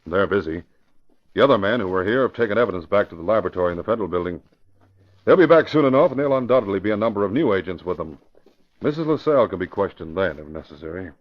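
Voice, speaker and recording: A man's voice: on the low side, lively intonation, fast talker, lightly acted. An American man playing a cautious, earnest police commissioner in an old-time radio mystery, official and explanatory. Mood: troubled, cautious. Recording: dull vintage radio recording.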